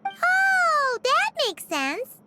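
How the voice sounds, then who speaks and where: A woman's voice: extremely high pitch, huge pitch swings, extremely slow, over loud music, heavily acted. A woman voicing a tiny, bubbly, chatty childlike fairy companion in a video game. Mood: excited. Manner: bubbly, exclaiming, refers to self in third person.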